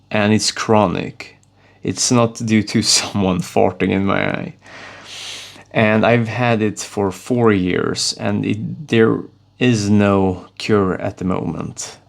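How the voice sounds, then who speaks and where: A Swedish man in his thirties speaking English as a second language, coaching viewers to camera in an earnest, halting way with frequent restarts. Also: lowish voice, creaky. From Sweden. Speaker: self-improvement vlogger.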